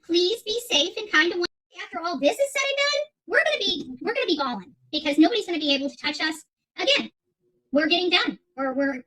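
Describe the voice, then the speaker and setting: A woman's voice: sits high, bright, forceful tone, poor audio. A woman hosting an online channel, ranting off the cuff to her audience in a combative, emphatic way, repeating phrases for weight. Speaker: host of an online commentary channel. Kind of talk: unscripted rant to an audience.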